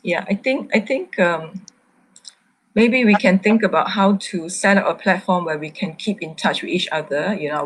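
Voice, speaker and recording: A woman's voice: sits low, slightly rough, low-quality recording. A woman, an artist moderating a conversational group discussion, speaking tentatively with frequent small verbal asides. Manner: tentative, repeats "you know".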